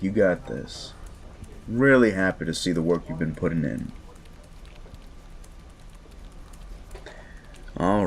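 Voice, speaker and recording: A man playing a smug, sleazy werewolf boss in an audio roleplay, talking down to the listener in a mocking, patronizing drawl. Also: lowish voice. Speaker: office boss.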